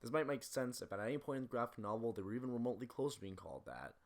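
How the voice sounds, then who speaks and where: A man's voice: medium pitch, animated, racing. A man narrating a scripted film analysis as a video essayist, in a critical, opinionated way.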